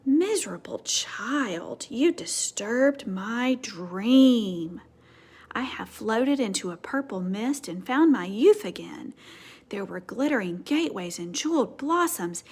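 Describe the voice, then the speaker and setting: Woman reader as dreamy old storybook man, grumbling softly and slowly in a half-whispered, wistful way. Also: a little high, animated, husky, full character voice. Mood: sleepy annoyance.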